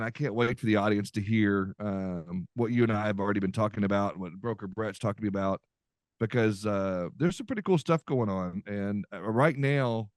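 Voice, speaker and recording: A man's voice: on the low side, dry recording, studio quality. A man hosting a podcast, chatting casually in an upbeat, folksy way with lots of fillers. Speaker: podcast host. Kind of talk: casual podcast chat with ad reads.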